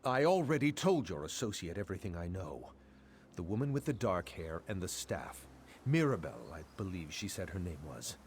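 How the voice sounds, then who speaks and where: A man plays an impatient fantasy game nobleman. His voice is somewhat low, with pompous, formal phrasing. Faint noise lies underneath. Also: sing-song, normal speed. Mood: irritated. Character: haughty, impatient nobleman count.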